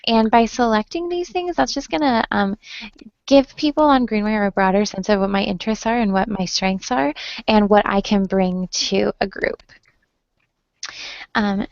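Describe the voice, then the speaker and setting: A woman's voice: mid-range voice, creaky, no room sound. A young woman leading an online screen-share training, friendly, with many "um" fillers, heard over a web call. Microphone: computer mic on a video call. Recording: dull lo-fi web call audio.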